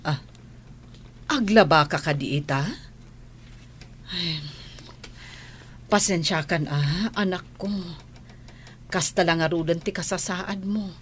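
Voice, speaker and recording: Gentle, low-voiced doting mother. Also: slow pace, long pauses, slight background noise. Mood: gentle, caring. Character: gentle, doting middle-aged mother who works as beautician. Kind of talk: Ilocano radio drama acting.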